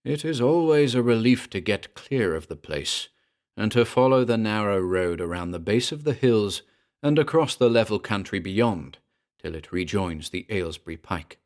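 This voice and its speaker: A man with a fairly low voice presents and narrates classic horror fiction. His delivery is scripted, measured and formal, with deliberate phrasing suited to an old literary tale. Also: expressive, a little mellow.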